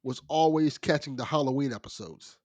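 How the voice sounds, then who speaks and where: A man's voice: medium pitch, fairly quick, darkish tone, no room sound. A man chatting casually and conversationally as a guest on a podcast. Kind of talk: casual chat.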